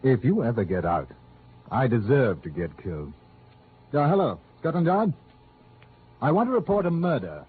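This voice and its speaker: A man's voice: medium pitch, sing-song, normal speed, pauses often, natural voice. An actor playing a blunt, hard-nosed male investigator in an old radio drama. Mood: cool, dismissive. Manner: blunt, curt, tough. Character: brusque, hard-nosed American insurance investigator. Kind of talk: 1940s-50s radio drama acting. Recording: tinny, noisy old radio recording.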